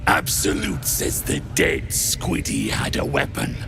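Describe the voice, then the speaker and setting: A man voicing a brutish, irascible hobgoblin war leader in a fantasy video game, with a gruff, commanding, blunt delivery. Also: mid-range voice, wildly swooping pitch, a little slow, over music, exaggerated voice. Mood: gruff, impatient. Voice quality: gruff, gravelly.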